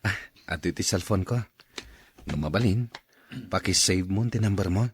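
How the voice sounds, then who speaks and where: An actor playing a smooth-talking, self-excusing man in a radio drama, with a Filipino accent and a husky voice. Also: deep voice, moderate pace, dry recording. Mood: flirtatious.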